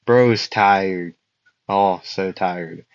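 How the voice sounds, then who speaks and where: A man's voice: sits low, very slow, no room sound, highs cut off. A young man casually telling a fan-made anime story, rambling with fillers and frequent laughs, his voice getting worn out. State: losing his voice.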